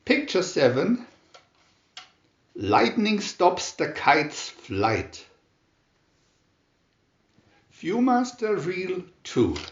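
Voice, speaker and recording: Very slow, animated male storybook reader. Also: high voice, reverberant, muffled recording. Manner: slow, deliberate, expressive storytelling delivery. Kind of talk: scripted children's story narration.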